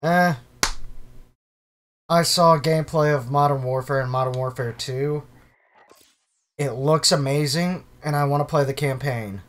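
A young man with a hoarse, fading voice, casually talking over a video game he is playing, swearing now and then. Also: a little high, measured pace, long pauses, fairly bright tone, slight background noise. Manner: casual, swears, clears throat. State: losing his voice, sick. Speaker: gaming YouTuber. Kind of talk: casual let's-play commentary.

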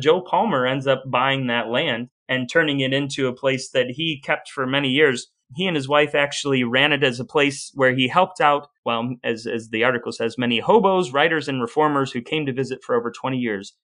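A man's voice: medium pitch, fairly bright tone. A man co-hosting a podcast in a casual, conversational, wry way, sometimes reading aloud. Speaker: podcast co-host.

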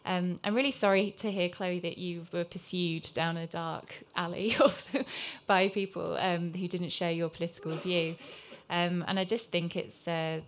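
Female panelist, journalist, candid and earnest, flat delivery, table mic. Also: lowish voice, normal speed, few pauses, a little hiss or hum. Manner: earnest, candid, with frequent ums. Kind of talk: panel discussion remarks.

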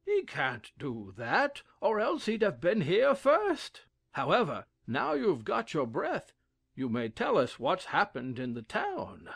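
A man's voice: high voice, huge pitch swings, gravelly, fairly bright tone, slightly rough, no room sound, full character voice. Pompous, wildly swooping king. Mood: self-satisfied. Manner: pompous, theatrical, drawn-out. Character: pompous, fussy, faint-hearted old king. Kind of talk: dramatized audiobook acting.

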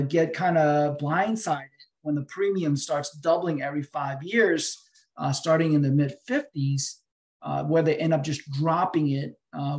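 Man explaining, slightly high-pitched voice. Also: darkish tone. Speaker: financial advisor guest answering questions. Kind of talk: webinar question-and-answer. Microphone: video call.

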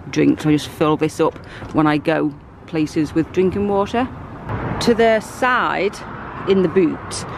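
A British woman in her thirties giving a relaxed, chatty walkthrough of her car, filmed on a handheld camera. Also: low-pitched, a little hiss or hum. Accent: British English. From United Kingdom. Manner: chatty, relaxed, lots of fillers. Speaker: vlogger. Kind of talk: casual show-and-tell walkthrough. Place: inside and around a car, outdoors by the sea.

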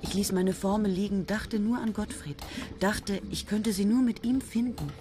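An actress in a radio play playing a young woman student who speaks softly and dreamily. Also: medium pitch, moderate pace, background noise, lightly acted. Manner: soft and hushed, dreamy. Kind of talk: radio play acting and narration.

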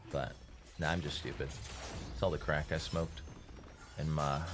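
A young man with a very low voice talks into a headset while he streams. His first language is Farsi, and he chats with his viewers in a loose, self-mocking way. Soft game music plays behind him. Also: steady tone, unhurried. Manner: joking, self-mocking, chatty. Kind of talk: casual stream commentary. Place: small room indoors. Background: faint game music.

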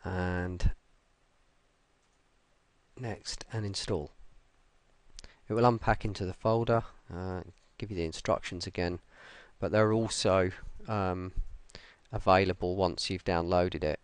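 A man presenting a casual software tutorial, speaking unscripted and hesitantly with pauses mid-sentence. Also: low voice, fairly even intonation, clean tone, dry recording. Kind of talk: casual screen-recorded walkthrough.

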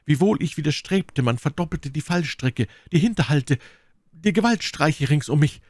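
A man's voice: fairly high pitch, lively intonation, moderate pace, darkish tone, no echo, studio quality, pitched above the performer's own voice. A man reading aloud, voicing a proud, manipulative noblewoman held prisoner, in a dramatic pleading tone. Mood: melancholy, solemn. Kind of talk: audiobook character reading.

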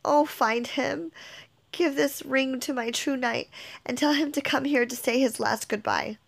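Brief: woman reading a young Juliet, high-voiced and anguished, declaiming her grief. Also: fairly even intonation, a little slow, vocal fry, full character voice. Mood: despairing. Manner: emotional, declamatory. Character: young woman Juliet, grieving, torn, passionate. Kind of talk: dramatic reading of a modernized play.

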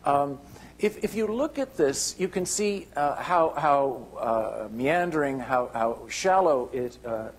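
An older man giving a keynote lecture with slides, halting, with many restarts and fillers. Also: fairly high pitch, expressive, slight background noise. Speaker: keynote speaker. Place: lecture hall.

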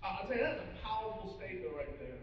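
Middle-aged pastor guy preaching, kinda high voice, earnest, restarts a lot, far from the mic in a big hall, faint hiss. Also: sing-song, fast talker. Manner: earnest preaching with frequent restarts, addressing the congregation directly. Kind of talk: sermon. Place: large church auditorium with a seated congregation. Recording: echoey, muffled hall audio from a distance.

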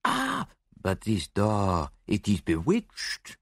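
A man voicing a shrewd, self-assured foreign detective in an audiobook, speaking briskly and precisely with little interjections. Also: fairly low pitch, huge pitch swings, gravelly, dry recording, clean studio sound, exaggerated voice. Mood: angry.

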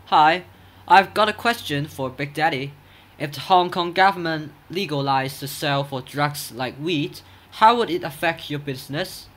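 A woman's voice: low-pitched, normal speed, fairly bright tone. A woman playing a plain-spoken male caller putting a question on a radio phone-in. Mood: curious.

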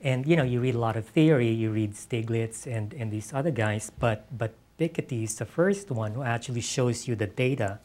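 A man's voice: mid-range voice, normal speed, few pauses, darkish tone. A middle-aged man, a novelist, answering casually in an onstage interview, hesitantly and often repeating the first words of a phrase. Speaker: novelist, guest. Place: on stage in an auditorium before an audience.

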